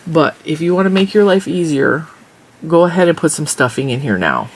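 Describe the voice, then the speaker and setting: A woman with a stuffy, congested nose talks casually while she crochets and counts off her stitches and rows. Her voice has a gravelly fry, and a faint hiss of noise sits behind it. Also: deep voice, expressive, a little fast. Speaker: crochet tutorial host. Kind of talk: casual crochet tutorial with chat.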